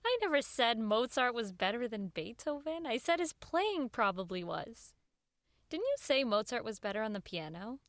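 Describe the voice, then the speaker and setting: A woman's voice: on the high side, sing-song, normal speed, a bit bright, a little hiss or hum. An actress playing an earnest, nervous woman who works in network radio, in radio drama dialogue and narration. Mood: friendly, hesitant.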